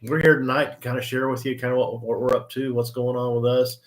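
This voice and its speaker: A man in his sixties with an Oklahoma accent, co-hosting a live online session in a folksy, rambling way full of "you know"s and throat clears. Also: mid-range voice, flat delivery, quick pace, poor audio. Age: 60s. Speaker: co-host of a live online training. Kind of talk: casual live-stream chat.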